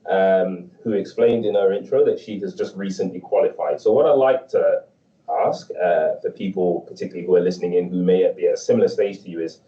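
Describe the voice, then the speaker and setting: Deep-voiced male moderator on a video call, measured and chatty, heard from afar in an echoey room. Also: warm tone. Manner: measured, conversational, fond of "right?". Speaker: panel moderator. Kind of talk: hosting an online panel. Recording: dull, distant, roomy call audio.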